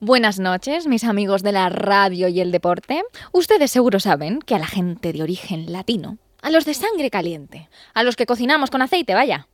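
A woman's voice: mid-range voice, big pitch swings, normal speed, no echo, studio quality. A woman hosting a scripted, humorous radio story, chatting directly to the listeners. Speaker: host and storyteller. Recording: music playing behind voice.

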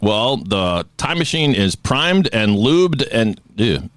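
A man co-hosting a podcast, teasing his partner with quick wisecracks in casual banter. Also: lowish voice, dry recording, clean studio sound. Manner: wisecracking, teasing, lively delivery. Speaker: podcast co-host.

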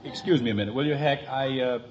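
An actor playing a middle-aged suburban father in a 1950s radio sitcom, well-meaning, halting and given to pompous advice, easily exasperated. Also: mid-range voice, close to an everyday voice. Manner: halting, sententious, easily flustered. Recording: muffled, noisy old radio broadcast.

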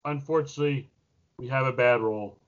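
A man's voice: mid-range voice, brisk. A man in his thirties chatting casually as a co-host on a podcast panel. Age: 30s. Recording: slightly dull lo-fi recording.

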